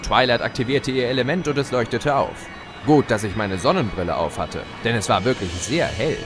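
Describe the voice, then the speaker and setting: Guy doing a smug chaos villain for audio drama, super animated, mocking, music behind. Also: mid-range voice, a little fast, close to an everyday voice. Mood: smug storytelling. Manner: mocking, gloating, theatrical. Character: gleeful, theatrical male chaos spirit, mocking villain. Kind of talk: audio drama narration in character.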